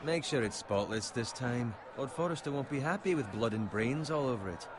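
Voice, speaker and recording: An actor playing a cocky, teasing young soldier in a medieval fantasy game, speaking bluntly and mockingly. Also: male voice, mid-range voice, lively intonation, brisk, noisy background, natural voice. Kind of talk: video game fantasy acting.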